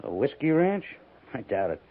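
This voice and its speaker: An American man playing a resigned army major and post commander, measured and a little defensive, in 1950s radio drama. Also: medium pitch, big pitch swings. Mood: doubtful, musing. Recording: tinny, narrow-band old radio recording.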